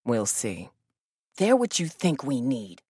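A woman's voice: a bass voice, huge pitch swings, slow pace, dark tone, husky, no room sound, full character voice. A woman voice actor playing a guarded, blunt, protective young survivor of a zombie apocalypse in a video game, with a dry delivery. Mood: guarded, wary.

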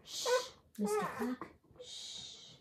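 A woman reading as a small quiet boy. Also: high voice, wildly swooping pitch, normal speed, heavy background noise. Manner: soft, whispering.

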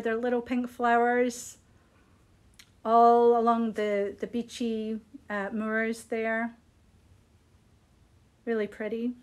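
A middle-aged woman chats casually and unscripted as she presents products on a live shop stream. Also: on the high side. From Scotland. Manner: chatty, unscripted, appreciative. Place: small indoor room. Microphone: phone at arm's length.

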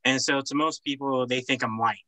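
A man's voice: medium pitch, fairly even intonation, normal speed, pushed and bright, dry recording. A young man whose first language is Spanish, explaining earnestly with many restarts on a panel video call. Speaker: panelist, business owner. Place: indoor room. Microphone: computer on a video call.

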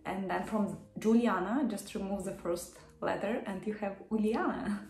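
A young woman with a Ukrainian accent talks casually to the camera from a small office, friendly and quick to laugh. Her voice sits at a middling pitch. The room gives it a noticeable echo. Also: steady tone, darkish tone, a little hiss or hum. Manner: friendly, laughs often, careful pronunciation. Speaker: yoga vlogger. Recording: echoey room.

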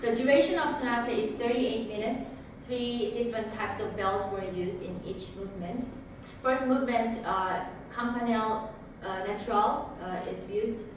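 A woman reads a music lecture in a measured, careful way, pausing now and then over her notes. She is picked up from a distance in a big echoing recital hall, with steady room noise underneath. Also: a little high. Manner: measured, reading from notes with occasional hesitations. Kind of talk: read lecture presentation. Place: recital hall with grand piano and projection screen. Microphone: far room camera. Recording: echoey hall from far off, audible room noise.

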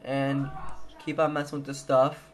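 A man's voice: medium pitch, monotone, normal speed, crowd noise. A young adult man giving a casual, hesitant tutorial, thinking aloud as he goes. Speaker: tutorial presenter. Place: indoors at a computer. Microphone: handheld camera mic. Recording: noisy handheld camera recording.

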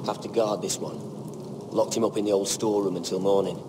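A man playing a gruff, indifferent night guard in a video game drama. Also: lowish voice, steady tone, fairly quick, lightly acted. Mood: matter-of-fact. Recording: loud rain and storm noise.

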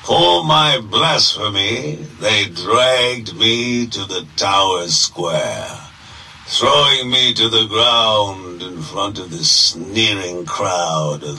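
An actor playing a zealous, grandiose merchant prophet of greed in a theatrical, deliberate game audio log monologue. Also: male voice, fairly low pitch, sharp tone, breathy edge, recorded from a distance, heavily acted, low-quality recording. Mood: grim, defiant.

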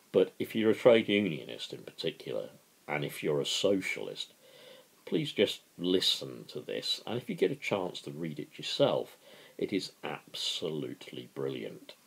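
Very deep, gravelly middle-aged man. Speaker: amateur book reader. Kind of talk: informal introduction and dramatic reading. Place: small living room, indoors.

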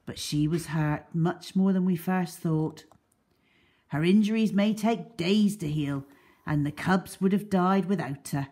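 A woman's voice: on the low side, measured pace, mellow, natural voice. A woman voicing a bearded wildlife rescuer in a storybook, firm but kind. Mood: kindly. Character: gruff bearded man, stern then kindly wildlife rescuer.

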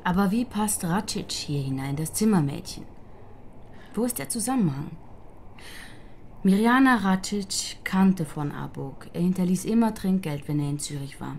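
An actress playing a reserved, inquisitive investigator in a radio crime drama, composed and questioning. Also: female voice, on the low side, expressive, normal speed, darkish tone, slight background noise.

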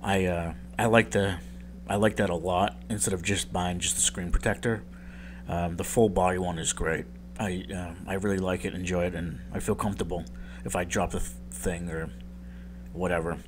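A man with a deep, just-woken-up voice walking through a tech tutorial in a rambling, self-deprecating way, with lots of ums. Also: steady tone, moderate pace, noisy background. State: just woke up, deep morning voice. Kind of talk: casual screen-recorded tutorial voice-over.

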